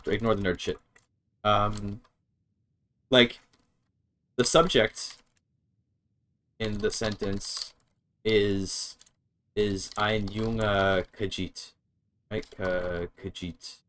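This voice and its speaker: A man's voice: fairly low pitch, takes it slowly, pauses often, fairly bright tone. A man casually streaming a game, thinking aloud as he reads his chat and works through grammar. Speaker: streamer learning a language. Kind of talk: casual live-stream commentary.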